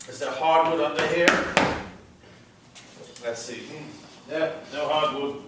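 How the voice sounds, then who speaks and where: A man's voice: fairly high pitch, slow pace. A man reading the part of a pompous, opinionated interior decorator in a play, in an echoey room. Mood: fussy, assured. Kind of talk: script read aloud at a play reading. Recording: echoey room, far from mic, faint noise.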